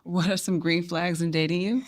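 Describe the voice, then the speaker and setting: A woman's voice: on the low side, steady tone, normal speed. A woman hosting a dating show, upbeat and encouraging as she runs through her questions.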